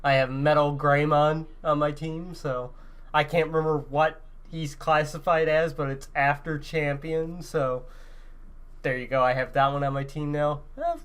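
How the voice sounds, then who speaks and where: A man's voice: on the high side, level tone, measured pace, a bit bright, clear, resonant tone. A man co-hosting a gaming podcast in casual, now and then profane chat.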